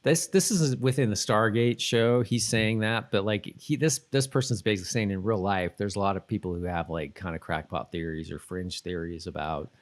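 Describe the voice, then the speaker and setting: A man in middle age with a fairly low voice chats casually as a podcast co-host. He talks in a halting way, qualifying what he says and backing up to correct himself. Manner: hedging, halting, self-correcting. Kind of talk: casual chat.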